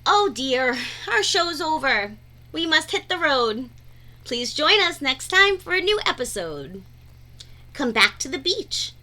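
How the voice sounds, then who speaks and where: A woman reading a rhyming children's picture book aloud in an expressive, deliberate, sing-song way. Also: sits high. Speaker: read-aloud storyteller.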